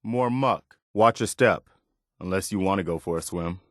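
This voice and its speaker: An actor playing an earnest, wary militia man in a post-apocalyptic video game, speaking in a measured, conversational way. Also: deep voice, fairly bright tone, dry recording, clean studio sound. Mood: watchful, conversational. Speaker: video game companion character. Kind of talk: game voice acting, short spoken reactions.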